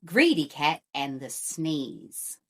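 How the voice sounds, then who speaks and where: Slow, animated woman reading a picture book. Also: deep voice. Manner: expressive, deliberate rhythmic phrasing. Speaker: storyteller. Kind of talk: children's picture-book read-aloud.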